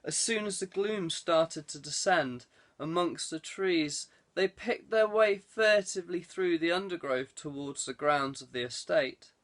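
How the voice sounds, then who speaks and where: A man reading scripted fiction as an audiobook narrator. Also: on the high side. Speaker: audiobook reader.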